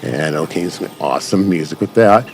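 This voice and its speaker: A man's voice: sits low, lively intonation, fairly quick, slight background noise. A man giving casual, unscripted commentary as host of a gaming channel, rambling along in long run-on sentences. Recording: music over the voice. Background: music.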